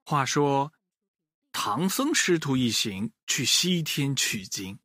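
A man reading a children's tale aloud, slow and deliberate with a lively, swooping melody. His voice sits low, and the recording is dry and close with no room sound. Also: no echo. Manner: animated, slow, expressive. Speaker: storyteller. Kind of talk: children's story narration.

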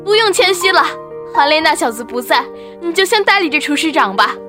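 An actress playing a bossy, pragmatic restaurant boss in a Mandarin radio drama. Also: female voice, sits high, big pitch swings, fairly quick, over music. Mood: approving. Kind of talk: Mandarin audio drama acting.

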